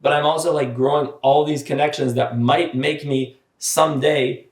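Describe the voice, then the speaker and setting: A man's voice: on the high side, fairly even intonation, moderate pace. A man in his thirties, an artist and podcast guest, talking casually and earnestly with many filler words. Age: 30s. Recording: roomy echoey room sound.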